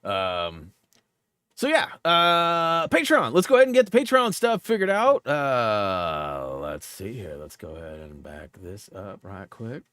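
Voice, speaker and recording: A man's voice: lowish voice, wildly swooping pitch, unhurried, fairly bright tone, no room sound, studio quality. Rambling man hosting a livestream. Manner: rambling, filler-heavy, lots of "like". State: stuffed up. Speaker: livestream host. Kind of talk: casual livestream chat.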